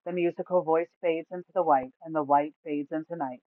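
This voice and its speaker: A woman's voice: deep voice, steady tone, fairly quick, no echo. A woman reading scripted fantasy story narration from a tabletop campaign. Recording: thin, phone-like, dry sound.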